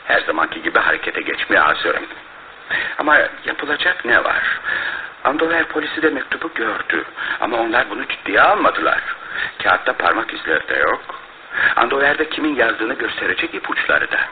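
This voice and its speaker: An actor playing a shrewd, grave middle-aged detective in a radio drama, speaking in a deliberate, theatrical manner. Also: male voice, mid-range voice, sing-song, lightly acted. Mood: grave, uneasy. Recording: phone-like lo-fi radio broadcast, faint noise.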